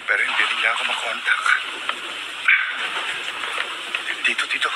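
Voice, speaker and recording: A man's voice: mid-range voice, level tone, very fast, heavy background noise, lightly acted. A man playing an anxious, earnest young deliveryman in love in a radio drama. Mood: anxious, straining. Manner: worried, earnest.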